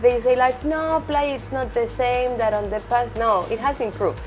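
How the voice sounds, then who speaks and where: Chatty woman from Mexico City, higher voice. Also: moderate pace, faint music behind. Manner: chatty, explains with lists and asides. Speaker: real estate agent. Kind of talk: casual conversational interview.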